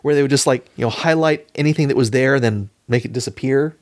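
A man's voice: mid-range voice, quick pace, no echo, clean studio sound. A man talking casually as a panelist in a discussion about films. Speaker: podcast panelist.

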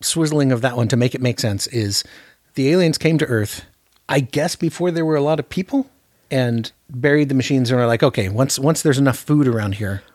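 Husky-voiced man in casual movie chat. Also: mid-range voice, fairly quick, darkish tone, dry recording, clean studio sound. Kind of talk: casual film discussion.

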